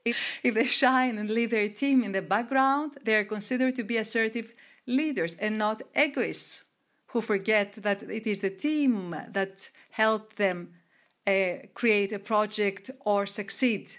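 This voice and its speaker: A middle-aged woman from Greece, answering interview questions in a measured way with frequent restarts and fillers. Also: mid-range voice, a bit bright. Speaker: guest, researcher and coach of women entrepreneurs.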